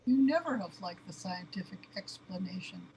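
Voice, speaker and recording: Knowing, eerie female confidante played by an actress, whispery and soft, faint noise behind, sci-fi audio drama. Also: medium pitch, animated, normal speed, close to an everyday voice. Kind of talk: sci-fi radio drama dialogue. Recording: noisy, ambient background.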